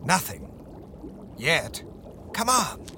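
Brash, snippy young reporter. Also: male voice, fairly high pitch, huge pitch swings, drawn-out words, noisy background, full character voice. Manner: snippy, wry. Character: brash, sarcastic blogger-reporter. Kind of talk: radio play acting.

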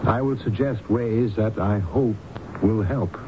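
An actor playing a calm, authoritative doctor and hypnotist in a radio drama, speaking in a measured, reassuring, professional way. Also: male voice, lightly acted. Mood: reassuring, explanatory. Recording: dull, noisy vintage radio broadcast with rumble.

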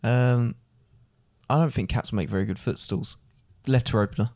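A man taking a quiz on a casual podcast, thinking aloud with hesitant pauses. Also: mid-range voice, darkish tone, dry recording, clean studio sound. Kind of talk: casual chat.

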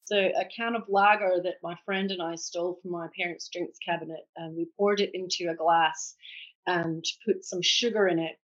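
A woman's voice: on the low side, steady tone, moderate pace, dull audio. A Scottish woman in her forties, an author telling her story candidly and reflectively in a podcast interview. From Scotland. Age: 40s. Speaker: author guest.

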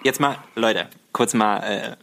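A man's voice: lowish voice, takes it slowly, vocal fry, fairly bright tone. A man as a podcast guest, bantering casually and playfully and dropping English slang into his colloquial speech.

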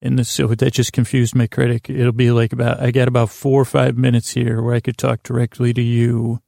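A man delivers a soothing, meandering bedtime monologue as a podcast host. His creaky voice is soft-edged and stays within a very flat range. He stumbles and circles back in a halting, self-deprecating way. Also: mid-range voice, dry recording, clean studio sound.

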